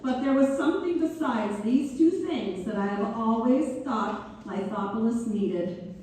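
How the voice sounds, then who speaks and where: A woman playing a gracious, wryly humorous benefactor delivering a measured, playful dedication speech in a staged reading. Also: fairly high pitch, mellow, close to an everyday voice. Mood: humble, playful. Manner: measured, deliberate, playful oratory. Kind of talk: theatrical read-through of a formal speech. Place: theater hall, live staged reading. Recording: echoey hall from far off, faint noise.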